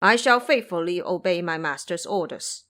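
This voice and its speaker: An eager, simple-minded pig monster, acted out by a woman, speaks up loudly in an audio drama. He pleads with his betters in a deferential way, every request pushed forward with force. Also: lowish voice, lively intonation, normal speed. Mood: hopeful.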